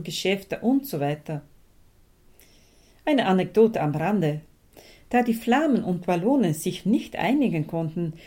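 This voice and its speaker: A Belgian woman speaks German, her being Dutch. Her voice is mellow and a little low, and she tells her story calmly and without hurry on the radio. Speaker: radio presenter. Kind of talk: personal radio talk.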